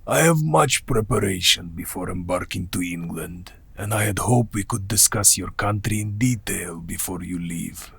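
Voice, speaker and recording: Radio drama villain: courtly, menacing old vampire count, low and heavily gravelled, formal delivery. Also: male voice, fairly bright tone, no room sound, exaggerated voice. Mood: courtly, ominous. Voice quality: gravelly, husky. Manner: slow, formal, deliberate. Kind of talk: radio play acting.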